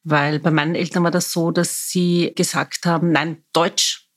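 A woman's voice: on the low side, little pitch movement, measured pace. A woman hosting an interview, attentive and affirming, speaking thoughtfully. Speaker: interviewer, host. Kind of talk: interview questions and replies.

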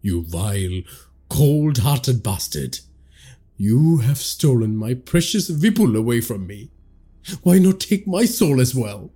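A man's voice: medium pitch, very animated, slow pace, mellow, breathy edge, heavily acted. A man voicing a terrified young man losing his sanity, wailing and frantic. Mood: bitter.

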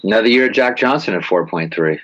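A man's voice: fairly low pitch, expressive, fast talker, fairly bright tone. A man giving emphatic, punchy sports commentary in a casual style, as a guest on a talk show. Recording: dull lo-fi sound.

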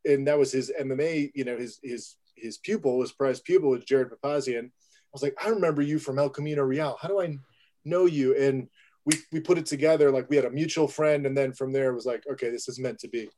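A man's voice: mid-range voice, fairly quick, warm tone. A man who is a filmmaker and guest, telling a story with enthusiasm and animation. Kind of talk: casual storytelling in an interview.

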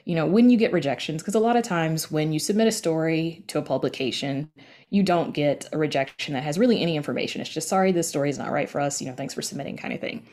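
A woman's voice: deep voice, fast talker, darkish tone. A woman guest in a casual interview, explaining things conversationally with plenty of filler phrases. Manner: conversational, explanatory, peppered with you know and like. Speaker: podcast guest, writer and audio drama creator.

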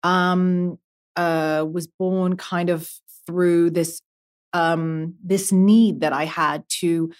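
A woman's voice: lowish voice, little pitch movement, drawn-out words, clean tone. A young woman, a guest artist, talking thoughtfully on a podcast, with many fillers and restarts. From half Emirati. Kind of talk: podcast conversation.

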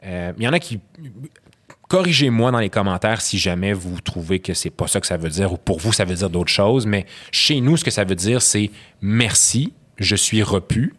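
A man's voice: lowish voice, expressive, normal speed, a bit bright. Reflective young man. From Quebec. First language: Arabic. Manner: reflective, thoughtful, many restarts and "tu sais". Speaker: podcast guest. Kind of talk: casual podcast conversation.

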